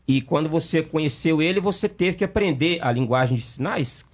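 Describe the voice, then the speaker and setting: A man hosting a radio interview, asking questions haltingly with many fillers and restarts. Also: on the high side, lively intonation. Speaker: radio show host. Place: radio studio.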